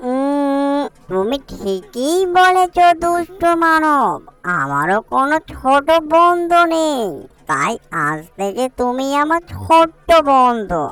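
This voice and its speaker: A woman's voice: high voice, sing-song, slow pace, fairly bright tone, faint music behind, full character voice. A woman voicing a mischievous, teasing little ghost in a cartoon, speaking emphatically. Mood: friendly. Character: mischievous little ghost, greedy but friendly. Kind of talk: cartoon character acting.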